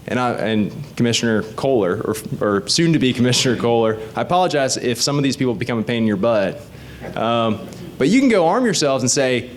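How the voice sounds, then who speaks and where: A man's voice: mid-range voice, a little hiss or hum. A man, a state legislative candidate giving an informal, explanatory talk to a community group and asking the audience questions. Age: 30s. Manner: conversational and explanatory, often asks the audience questions.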